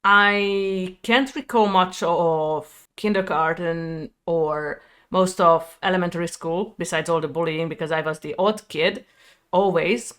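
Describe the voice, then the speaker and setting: A woman in her thirties with a Hungarian accent, telling a personal story to her viewers in a reflective, halting, candid way. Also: fairly low pitch, a bit bright, clear, resonant tone. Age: 30s. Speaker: video creator.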